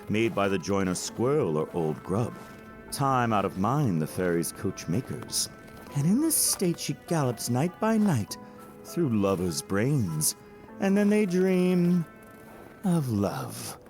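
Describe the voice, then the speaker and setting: A witty, feverishly fanciful young man, played by a male actor in a Shakespearean audio play. He takes his time, teasing and theatrical, his lowish voice swinging widely in pitch as he spins a tale. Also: a little mellow. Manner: theatrical, storytelling, swooping delivery.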